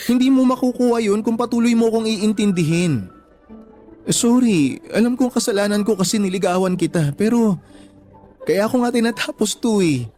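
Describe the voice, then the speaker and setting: A man's voice: high-pitched, normal speed, dark tone, soft background music, close to an everyday voice. A man acting a troubled, self-doubting young boyfriend in a Tagalog radio drama, speaking in an earnest, apologetic, emotional way. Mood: pained, apologetic.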